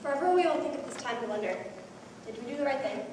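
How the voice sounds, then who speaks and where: An anxious young woman in a stage play, played by a female performer. Her voice is strikingly high and rings through a very echoey hall, picked up from a distance. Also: lively intonation, fast, darkish tone. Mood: reflective. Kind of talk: school one-act play acting. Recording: echoey hall from far off, faint noise.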